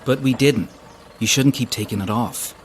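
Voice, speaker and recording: An actor playing an earnest, proper young newlywed husband in an audio drama, mild and natural in manner. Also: male voice, mid-range voice, normal speed, lightly acted. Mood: chiding. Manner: mild, prim, gently teasing. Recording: faint vehicle noise.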